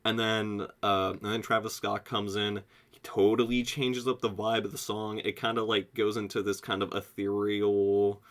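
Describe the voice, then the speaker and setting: A young American man giving a casual, excitable album review, slangy and sweary, saying "like" constantly. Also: lowish voice, moderate pace. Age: young adult. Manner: excitable, slangy, heavy use of "like", frequent swearing. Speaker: music reviewer.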